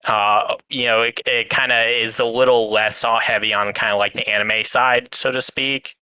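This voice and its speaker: Bright, forceful man in casual discussion. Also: mid-range voice, level tone, normal speed, clear, resonant tone, no room sound, rough recording. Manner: hedging, rambling explanations. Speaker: guest reviewer on a fan podcast.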